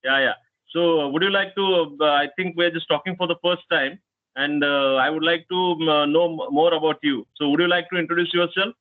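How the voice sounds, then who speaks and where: A man's voice: fairly high pitch, steady tone, brisk, no room sound. Man on a tinny, dry call line. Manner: hesitant, many fillers, tag questions like "right?". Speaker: channel host, conversation partner. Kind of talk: casual English practice chat. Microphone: online call.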